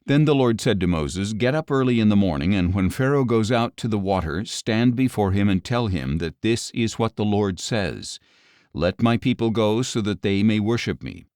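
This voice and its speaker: Low-voiced man narrating scripture, close and dry. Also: animated, normal speed, a little mellow, clean tone, no room sound. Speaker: audiobook narrator.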